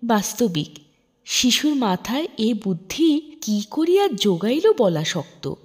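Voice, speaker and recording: Slow, high-voiced woman reading a story aloud. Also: slightly rough. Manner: measured, expressive, formal literary delivery. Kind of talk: scripted narration, expressive audiobook reading.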